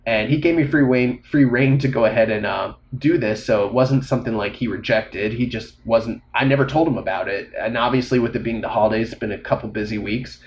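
A man's voice: mid-range voice, brisk, few pauses. A man hosting a solo podcast, a little congested and slightly nasal, talking casually and rambling with frequent fillers. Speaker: podcast host.

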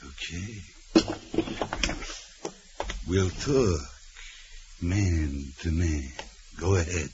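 An actor playing a tough, sneering convict boss with a gruff drawl in an old radio drama. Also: male voice, low voice, very slow, pauses often. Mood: menacing, mocking. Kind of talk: 1940s radio drama acting. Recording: muffled, noisy old-time radio recording.

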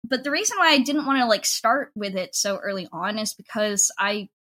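A woman giving a casual interview as a creator. Her voice is bright and forward at an average pitch, and her phrasing is informal, with "like" sprinkled throughout. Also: lively intonation, moderate pace. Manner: informal, frequent "like" as a filler. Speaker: audio drama creator, interview guest.

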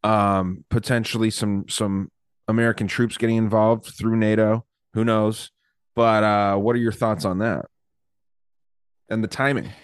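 A man's voice: lowish voice, level tone, a little slow, smooth, no echo, studio quality. Easygoing male podcast host, hesitant. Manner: hesitant, many fillers, easygoing. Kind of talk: casual chat.

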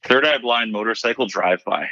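A man who grew up in Hawaii, a podcast guest answering questions in a relaxed, measured way with dry humor. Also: medium pitch, creaky, no echo. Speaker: podcast guest, self-storage appraiser. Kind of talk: casual interview answers. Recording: slightly dull lo-fi audio.

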